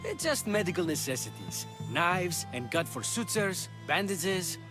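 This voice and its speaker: A man's voice: high-pitched, expressive, unhurried, over music. A man playing a nervous, earnest apprentice physician in a video game, flustered and eager to please. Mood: sheepish. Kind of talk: video game cutscene dialogue.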